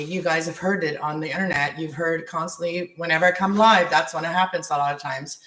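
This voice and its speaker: A man with a raspy voice hosting a tarot livestream, rambling with many restarts and fillers. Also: on the high side, sharp tone, roomy sound, poor audio. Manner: meandering, many restarts and fillers, spiritual talk. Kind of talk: rambling live-stream monologue. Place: apartment at home.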